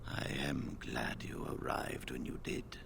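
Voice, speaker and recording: An actor playing an elderly male wizard leader, grave and weary, speaking in a measured, solemn way. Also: fairly bright tone, slight background noise, natural voice. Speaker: first enchanter.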